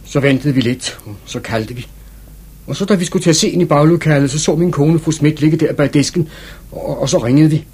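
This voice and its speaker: An actor playing an ordinary working-class husband giving a matter-of-fact witness statement in a 1950s radio drama. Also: male voice, a little high, darkish tone, husky.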